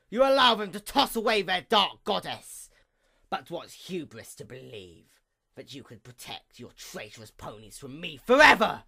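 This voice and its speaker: A woman voicing a bitter, envious dark goddess pony in a grandiose, brooding dramatic monologue. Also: fairly low pitch, gravelly, exaggerated voice. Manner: grandiose, brooding, theatrical, formal.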